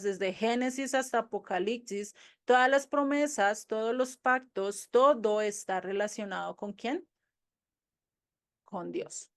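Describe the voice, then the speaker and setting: A woman teaching a Bible study to a group, conversational, often asking rhetorical questions. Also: on the high side.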